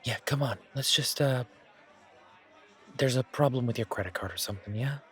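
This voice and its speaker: A man's voice: mid-range voice, moderate pace, fairly bright tone, close to an everyday voice. A man playing a friendly, reassuring bartender in a casual, naturalistic audio roleplay, with a chatty and slightly hesitant delivery. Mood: concerned, reassuring. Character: friendly, reassuring male bartender at a supernatural bar. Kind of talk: casual, naturalistic one-sided roleplay acting. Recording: crowd chatter behind voice. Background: bar crowd chatter.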